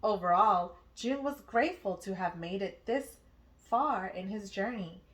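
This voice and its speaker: A woman narrating a children's storybook aloud, with expressive, deliberate phrasing. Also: mid-range voice, low-quality recording. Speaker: children's book read-aloud host.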